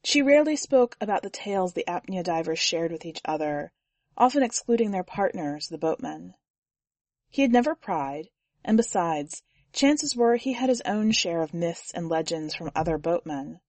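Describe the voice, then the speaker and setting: A woman podcast editor reading a short story aloud in a measured, even storytelling manner on a close studio mic. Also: fairly low pitch, no room sound. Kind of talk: fiction audiobook narration.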